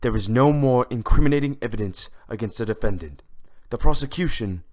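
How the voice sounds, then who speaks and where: A man's voice: medium pitch, animated, a little fast, darkish tone, natural voice. A man voicing a stern, skeptical buffalo police chief, speaking formally as a prosecutor in an amateur audio drama. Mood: resigned.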